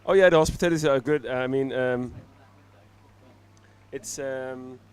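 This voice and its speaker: A man's voice: mid-range voice, unhurried, clean tone. A man speaking as a studio guest in a casual live interview, easygoing, feeling out his phrases piece by piece.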